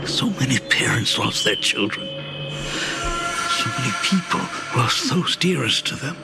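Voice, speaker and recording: Solemn middle-aged game dean, gravelly, very slow, courteous and formal, grieving father, music behind. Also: on the high side, huge pitch swings, heavily acted. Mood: mournful. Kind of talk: dramatic video game voice acting. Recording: loud music under the voice.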